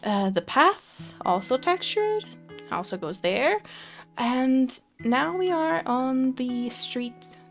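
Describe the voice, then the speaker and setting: Young woman for relaxed game commentary, slow and chatty, light guitar underneath. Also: high voice. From Finland. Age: young adult. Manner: relaxed, chatty, frequent fillers and soft laughs. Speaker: Minecraft let's play creator. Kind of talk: casual gameplay commentary.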